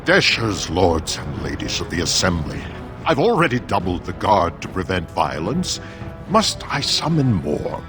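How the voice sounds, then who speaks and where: An actor voicing a weary, exasperated dwarf steward with a formal, commanding manner in a fantasy game. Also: male voice, low-pitched, sing-song, unhurried, music in the background. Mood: stern. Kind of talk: dramatic fantasy game acting.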